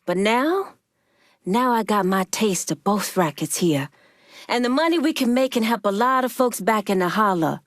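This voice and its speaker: A woman playing a tough, proud crime boss in a video game, with an American accent and a measured, pointed, streetwise delivery. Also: mid-range voice, animated, dry recording, studio quality, lightly acted. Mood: firm, reproachful. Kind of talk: video game character dialogue.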